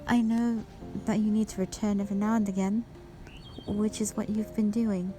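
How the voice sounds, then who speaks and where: A woman playing a gentle, devoted dragon wife in a romantic audio roleplay, speaking tenderly in formal, old-fashioned phrasing. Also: medium pitch, flat delivery, normal speed, close to an everyday voice. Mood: tender, happy. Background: music.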